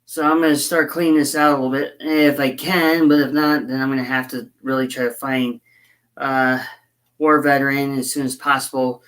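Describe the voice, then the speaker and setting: A hoarse woman chatting casually on a livestream as she builds a scale model, rambling and halting with frequent fillers. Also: very deep voice, fairly even intonation. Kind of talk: casual livestream chat while working.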